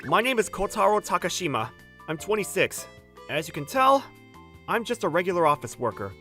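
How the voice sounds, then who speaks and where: A man's voice: high voice, sing-song, brisk, bright, forceful tone, soft background music, exaggerated voice. A man playing a polite, deferential office worker in his twenties, narrating a manga dub. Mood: matter-of-fact. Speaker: voice actor, lead and narrator.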